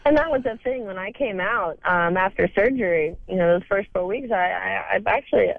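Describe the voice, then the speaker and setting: Hoarse young woman on a phone line. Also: medium pitch, dry recording. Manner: halting, many fillers and restarts. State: hoarse with a cold. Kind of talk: casual interview answers.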